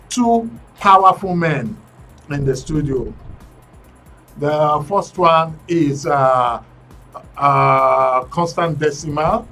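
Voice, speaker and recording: A man's voice: medium pitch, a bit bright, far from the mic. A man hosting a current affairs call-in show in a broadcast studio. He speaks emphatically and draws out the channel names and handles. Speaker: radio and TV show host.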